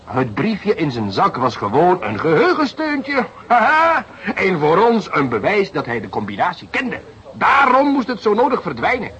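A man's voice: sits high, wildly swooping pitch, moderate pace, dull audio, crowd noise. A man acting an eager, exasperated young police detective in a radio play, theatrical, sarcastic and emphatic. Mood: excited.

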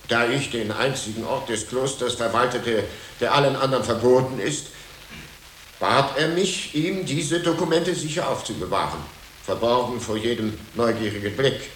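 An actor playing a dutiful, guardedly humble medieval monk librarian in a radio drama, giving formal, deliberate testimony. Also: male voice, mid-range voice, fairly bright tone, natural voice. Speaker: monastery librarian in a radio play. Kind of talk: German radio drama acting. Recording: roomy echo, faint background noise.

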